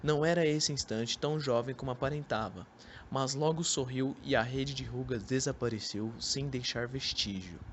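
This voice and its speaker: A man's voice: medium pitch, moderate pace, a bit bright, highs cut off. Narrator: young Brazilian male, mid-range voice, scripted storytelling, faint background noise. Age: young adult. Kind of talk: scripted literary narration for radio drama. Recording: amateur recording with background noise.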